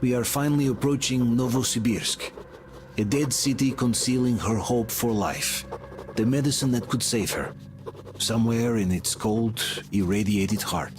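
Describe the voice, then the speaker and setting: A man acting as a soldier narrating a game story, solemn and reflective yet hopeful. His voice sits at a medium pitch, with clear noise behind it. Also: moderate pace, close to an everyday voice.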